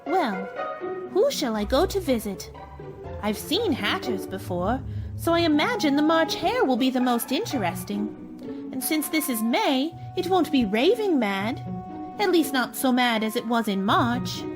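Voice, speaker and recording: A woman's voice: high-pitched, sing-song, unhurried, music in the background. A woman playing a polite, earnest, curious young girl in a radio play. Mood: musing.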